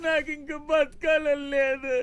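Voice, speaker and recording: A man's voice: very high voice, level tone, unhurried, noisy background. An actor playing a distraught, guilt-ridden young man in a melodramatic Telugu film. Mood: anguished, pleading. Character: distraught guilt-ridden young man begging to die over his mother.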